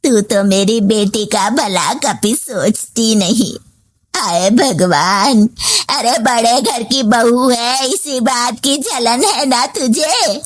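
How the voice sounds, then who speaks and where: A woman's voice: fairly high pitch, slow pace. A woman voicing a domineering, demanding older mother-in-law in a story, scolding and complaining. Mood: aggrieved. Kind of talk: story voice acting.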